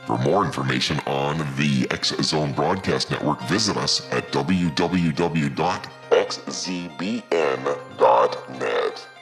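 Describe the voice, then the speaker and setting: A man with a gravelly voice reading a scripted radio network announcement. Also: slow, few pauses. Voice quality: very deep, gravelly. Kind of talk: scripted station promo announcement. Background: faint music.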